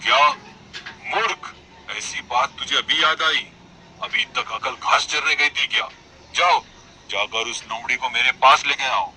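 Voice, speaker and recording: A man voicing a proud, commanding lion king and father in an animated moral story, with noise behind him. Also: mid-range voice, measured pace, gravelly, full character voice. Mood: angry. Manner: commanding, stern, threatening. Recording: noisy background behind voice.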